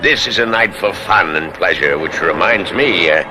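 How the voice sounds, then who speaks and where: Actor as a genial, fussy deep-voiced older gentleman. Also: normal speed, music in the background, close to an everyday voice, low-quality recording. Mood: eager. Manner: hesitant, repetitive, eager. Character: genial, fussy older father and moneylender, eager wine lover. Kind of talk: old radio mystery acting.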